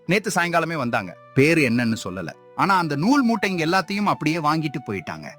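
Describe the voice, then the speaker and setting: A lively, patient shopkeeper. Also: male voice, fairly high pitch, quick pace, faint music behind. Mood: apologetic. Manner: patient, explaining. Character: helpful middle-aged thread shopkeeper.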